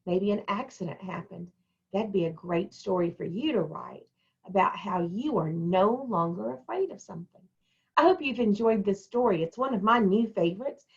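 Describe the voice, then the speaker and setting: A woman reading a children's storybook aloud and talking to young pupils in simple, deliberate phrases. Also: medium pitch, a little mellow, highs cut off. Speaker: elementary school staff member reading a picture book to children.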